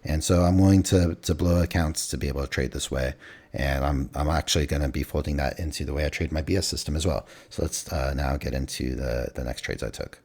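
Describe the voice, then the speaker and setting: A man reviewing his futures trades in a rambling, casual way with many fillers, his voice going hoarse. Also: rumbling low, steady tone, fairly quick, darkish tone. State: losing his voice, clearing throat.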